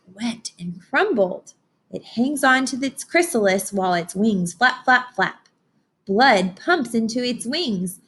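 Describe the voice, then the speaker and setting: Woman, mid-pitched voice, children's read-aloud, teacherly, expressive, instructive delivery. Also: husky. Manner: expressive, instructive, with dramatic pauses and hushed asides. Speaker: teacher reading a picture book aloud to children. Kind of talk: children's read-aloud with explanations and questions to listeners.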